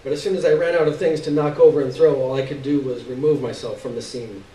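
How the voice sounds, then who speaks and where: A man giving an expressive live reading of a literary story, with dramatic, halting pauses. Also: mid-range voice. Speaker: story reader. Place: live stage reading indoors. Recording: roomy, distant stage recording.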